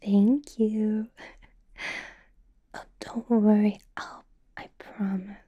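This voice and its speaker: A woman voicing a sweetly possessive, menacing female vampire boss in a soft, breathy ASMR whisper. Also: mid-range voice, little pitch movement, drawn-out words, lo-fi sound. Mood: fond, sinister. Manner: sweetly possessive, amused with an undercurrent of threat. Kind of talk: ASMR roleplay.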